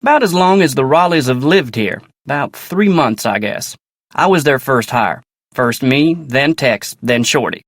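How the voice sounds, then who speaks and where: An earnest young cowboy for a game, folksy western american drawl, polite, medium pitch, dry studio sound. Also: male voice, expressive, a little slow, fairly bright tone, no room sound. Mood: plainspoken, courteous. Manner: polite, plainspoken. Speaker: ranch hand. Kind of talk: video game character dialogue.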